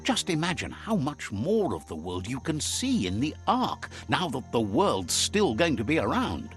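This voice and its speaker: An actor playing a wry, fond storybook narrator in a game, with a measured storyteller's delivery. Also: male voice, mid-range voice, huge pitch swings, soft background music. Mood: fond, reassuring. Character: male storybook narrator relaying creatures' words, wry and fond.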